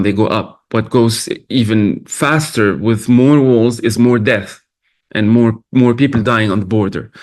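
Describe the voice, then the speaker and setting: Halting, thoughtful man from Lebanon. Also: lowish voice. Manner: thoughtful, halting, many restarts. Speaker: film director. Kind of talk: festival Q&A answers.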